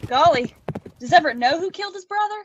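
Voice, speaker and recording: A woman's voice: very high voice, animated, quick pace, dull audio, very noisy. A woman playing an eager, earnest, inquisitive boy in an old-time radio western. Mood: curious, earnest. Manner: wide-eyed, inquisitive.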